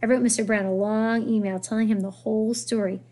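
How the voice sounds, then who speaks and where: A woman reading as an earnest, remorseful schoolboy, high voice. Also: level tone, fairly quick, dark tone, natural voice. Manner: plain, earnest, insistent.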